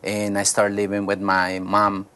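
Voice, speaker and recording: A man, a pastor, telling his life story in a studio interview, halting and searching for words. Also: on the low side, monotone, pushed and bright. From Colombia. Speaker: pastor, guest. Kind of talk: personal testimony interview.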